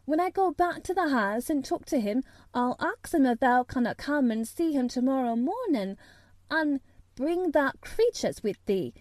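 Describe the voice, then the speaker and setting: A woman's voice: high-pitched, big pitch swings, moderate pace, darkish tone, dry recording, pitched above the performer's own voice. A woman voicing an eager young girl who proudly tries out a broad Yorkshire dialect.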